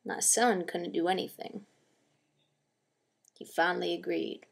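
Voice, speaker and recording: A woman acts out a bitter old grandfather in a dramatic monologue. The speech is slow, plainspoken and gruff, with creak in the voice. The temper is stubborn and wounded, grumbling with resignation. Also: on the low side, steady tone, heavily acted. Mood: aggrieved.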